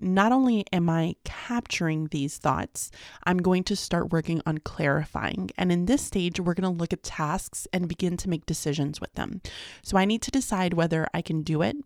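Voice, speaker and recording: A woman's voice: fairly low pitch, moderate pace, a little mellow, no room sound, clean studio sound. A woman, chatting casually in a rambling daily vlog, sounding sleepy. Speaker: vlogger.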